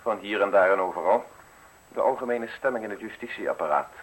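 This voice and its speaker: An actor playing a suave, menacing justice official in a radio drama, speaking in measured, formal, insinuating phrases. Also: male voice, on the low side, a little hiss or hum, lightly acted, rough recording. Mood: calmly confiding. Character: suave, menacing male state justice official offering a deal.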